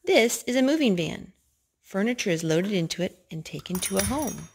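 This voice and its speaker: A young woman reads a picture book aloud at storytime, animated and gentle, with a voice in the middle of her range. Behind her there is a faint hiss. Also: mid-range voice, moderate pace. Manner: gentle, animated read-aloud voice, talking to the children between pages. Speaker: storytime reader. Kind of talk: reading picture books aloud to children.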